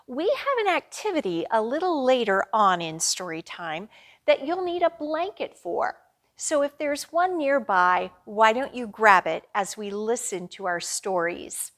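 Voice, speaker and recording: A woman leads a storytime for young children at an unhurried pace. She speaks simply and directly to her little listeners, as a children's librarian presenting books and activities. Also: medium pitch, a bit bright. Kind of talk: children's storytime presentation and picture book read-aloud.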